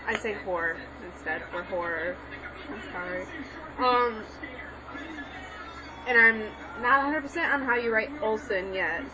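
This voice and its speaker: A young woman giving a casual lesson while she writes, rambling and correcting herself as she goes. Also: medium pitch. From Mexican background. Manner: rambling, self-correcting, hesitant. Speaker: language tutorial maker. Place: home room with a TV on. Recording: lo-fi home recording with background noise.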